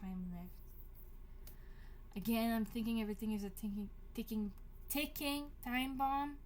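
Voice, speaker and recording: A woman in her early twenties talking to her camera unscripted, halting and chewing her words. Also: on the high side, fairly even intonation, darkish tone, slight background noise. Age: 20s. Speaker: vlogger. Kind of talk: unscripted casual monologue to camera.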